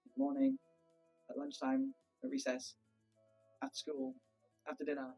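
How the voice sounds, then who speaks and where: A man's voice: very high-pitched, very animated, normal speed, vocal fry, warm tone, breathy edge, soft background music. A man in his thirties from the UK, a teacher answering pupils' questions in a cheerful, easygoing way. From United Kingdom. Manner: cheerful, easygoing, lots of ums. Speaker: teacher being interviewed. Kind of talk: casual interview answers.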